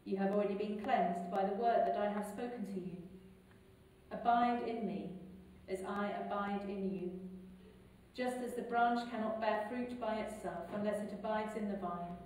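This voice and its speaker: A middle-aged English woman priest reading the gospel and preaching in a measured, clear liturgical manner, recorded in a large stone church from a distance. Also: mid-range voice, steady tone, darkish tone. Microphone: far camera. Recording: echoey church from far off.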